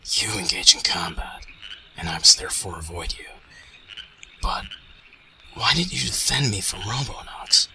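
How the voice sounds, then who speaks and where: A dutiful stealth robot acted by a man for an amateur radio play. The voice is deep and whispery. Phrases come out stilted and formal, like a machine reciting rules. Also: sing-song, moderate pace, creaky, pauses often, heavily acted. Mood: cold, formal. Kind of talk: amateur radio drama acting.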